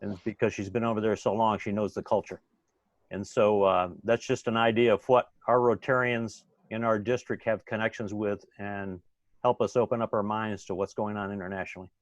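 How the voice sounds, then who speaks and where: A man's voice: lowish voice, flat delivery, a little fast, no room sound, highs cut off. A man hosting an online club meeting, talking casually with frequent fillers. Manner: chatty, filler-heavy. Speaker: meeting host.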